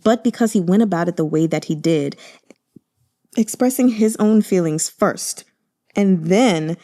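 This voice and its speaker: A woman hosting a book channel, giving casual commentary in a gushing, expressive manner. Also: fairly low pitch, unhurried. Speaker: host of a book reading channel.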